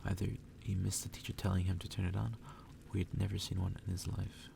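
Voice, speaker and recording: A man softly reading a story aloud in ASMR style, sometimes dropping toward a whisper and stumbling over the text. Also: low-pitched, flat delivery, fast talker, creaky, distant mic, noisy background. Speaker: ASMR reader.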